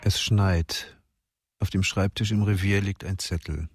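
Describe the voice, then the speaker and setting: A man narrates a crime radio drama in measured, literary prose. His voice is low. Music plays clearly beneath his words. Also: fairly even intonation.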